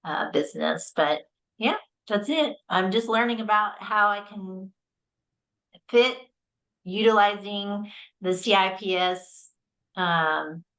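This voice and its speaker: A woman's voice: mid-range voice, takes it slowly, long pauses, fairly bright tone, low-quality recording. A woman realtor introducing herself casually on a video call. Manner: casual, some fillers.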